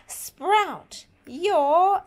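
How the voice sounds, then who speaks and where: A woman reading a picture book aloud to young children with dramatic, drawn-out, expressive delivery. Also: very high voice.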